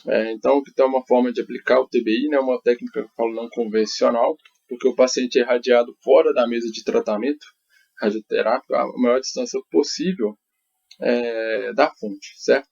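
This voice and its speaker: A man with a Brazilian accent giving an academic conference presentation as a researcher, speaking haltingly and often adding little tag questions. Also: mid-range voice, fairly even intonation, creaky, darkish tone. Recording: dull, lo-fi audio.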